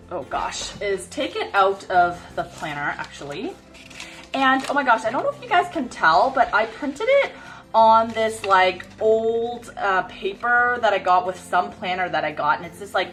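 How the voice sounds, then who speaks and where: A woman in her thirties chatting casually about her planner, sniffly from a cold and rambling with lots of fillers. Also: medium pitch. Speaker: planner vlogger. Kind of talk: casual chat while planning. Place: home desk by a bright window.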